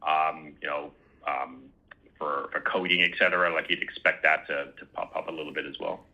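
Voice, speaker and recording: Deep male voice, sharp forceful tone, executive on earnings call, halting and repetitive phrasing. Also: steady tone, muffled recording. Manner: halting, repeats words. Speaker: company executive answering questions.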